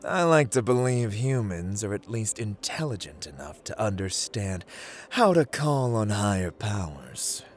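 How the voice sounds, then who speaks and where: A man acts out an arrogant, playful god of gambling and luck in an ASMR roleplay. His low male voice moves slowly and swings widely, savoring dramatic pauses. He sounds amused, condescending and a little dangerous as he teases the listener. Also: very animated, soft background music. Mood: proud, menacing. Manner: teasing, drawling, dramatic pauses, chuckling condescension.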